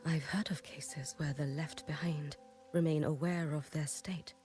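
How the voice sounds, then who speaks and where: Low, slow, solemn shrine maiden. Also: female voice, fairly even intonation, darkish tone, soft background music. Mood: grave, puzzled. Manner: measured, grave, restrained. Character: solemn, stoic female shrine maiden of legend. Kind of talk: game voice acting.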